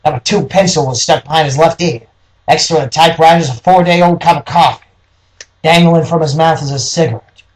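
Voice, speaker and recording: A man's voice: fairly high pitch, brisk, poor audio. A man reading scripted noir detective story narration as the narrator.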